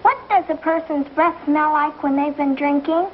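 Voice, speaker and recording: A woman's voice: high voice, fairly even intonation, normal speed, faint music behind, close to an everyday voice. A female performer playing a prying, tattling little schoolgirl in an old television western. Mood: nosy. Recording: dull old TV soundtrack.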